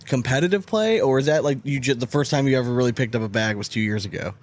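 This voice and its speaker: A man's voice: mid-range voice, quick pace. A man in his thirties hosting a podcast in an informal, slangy, chatty way. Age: 30s. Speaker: podcast host. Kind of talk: casual podcast chat.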